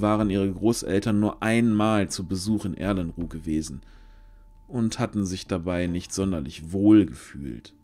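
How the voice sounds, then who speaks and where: A man narrating a scripted fantasy audio drama in a measured storyteller's delivery with deliberate pauses. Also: on the low side, darkish tone. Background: background music.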